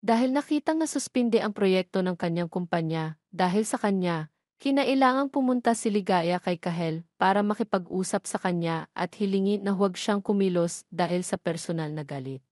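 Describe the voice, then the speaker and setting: A woman with a Filipino accent reads a scripted story recap as narrator, phrase by phrase in a measured way. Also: mid-range voice, lively intonation, darkish tone, dry recording, clean studio sound.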